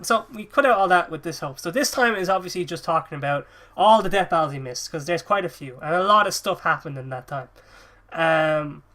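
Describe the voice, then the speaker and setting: Sniffly, bright-voiced young male podcast host. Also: sits high. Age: early 20s. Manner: rambling, laughing, swearing freely. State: sniffly with a cold. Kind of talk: casual podcast chat.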